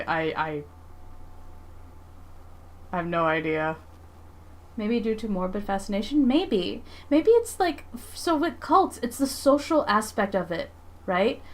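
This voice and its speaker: A woman livestream host chatting casually, thinking aloud in a rambling, emphatic way. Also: medium pitch. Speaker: livestream host, comic artist.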